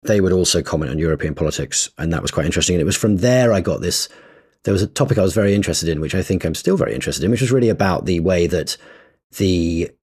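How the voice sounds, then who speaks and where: A man with a very low, husky voice, chatting as a podcast co-host. He talks in animated bursts, restarting sentences and leaning hard on key words. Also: quick pace. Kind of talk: casual chat.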